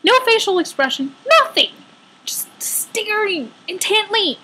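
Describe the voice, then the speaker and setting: A young woman with a very high voice chats through a personal story like a vlogger. She tells it casually and with exaggeration, slipping into little quoted asides as she goes. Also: very animated, measured pace, bright, forceful tone, a little hiss or hum, poor audio.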